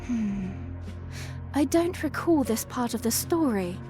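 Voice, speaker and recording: A woman's voice: fairly high pitch, expressive, slow pace, over music. A woman voicing a polite, curious young princess in a fan audio drama.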